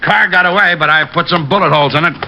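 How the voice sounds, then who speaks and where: An American actor playing a brisk, no-nonsense police lieutenant in an old radio drama. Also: male voice, fairly high pitch, gravelly, highs cut off. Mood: businesslike. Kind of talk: 1940s-50s radio drama acting. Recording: noisy old radio recording.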